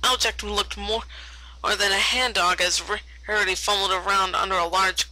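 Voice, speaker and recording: A woman's voice: mid-range voice, steady tone, normal speed, sharp tone, rough recording. A woman narrating fan fiction aloud expressively, often stumbling over and repeating words.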